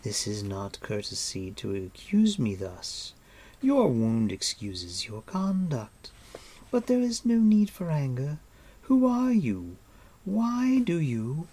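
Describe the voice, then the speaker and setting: A narrator putting on a silky, high-pitched enchantress queen. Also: wildly swooping pitch, slow, heavily acted. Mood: indignant. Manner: gentle coaxing turning to menacing hiss. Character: cruel enchantress queen, silky and imperious, prone to fury. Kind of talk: audiobook character voice.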